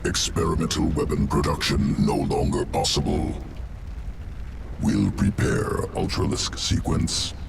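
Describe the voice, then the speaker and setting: A monstrous, obsessive alien geneticist from a video game. The voice is extremely deep and full of crackling gravel. It delivers clipped, emotionless reports at a slow pace. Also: male voice, animated, far from the mic, music in the background, exaggerated voice. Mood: clinical, detached. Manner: clipped telegraphic phrases, emotionless. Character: clinical alien evolution-engineer creature, detached, obsessive. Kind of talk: video game creature acting.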